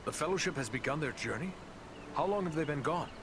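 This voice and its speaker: An actor playing an earnest, courteous human ranger hero in a fantasy game, speaking formally. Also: male voice, on the high side, lively intonation, quick pace, background noise. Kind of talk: fantasy game cutscene acting.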